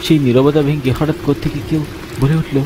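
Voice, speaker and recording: A man's voice: a little high, little pitch movement, brisk. A man narrating a horror cartoon story in the first person, dramatic and frightened, recorded with background noise. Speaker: narrator and voice actor.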